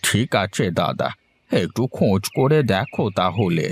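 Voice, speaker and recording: A man's voice: deep voice, very animated, unhurried, husky, full character voice. A man playing a poor, out-of-work middle-aged village husband in a cartoon drama, plaintive and resigned. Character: poor, jobless, weary village husband and father. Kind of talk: Bengali cartoon drama dubbing.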